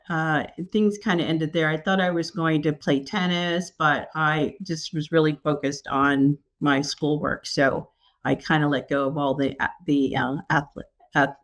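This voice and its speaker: A woman from New York City, an executive coach, telling stories as a podcast guest in an animated, enthusiastic way. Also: deep voice. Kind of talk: casual interview.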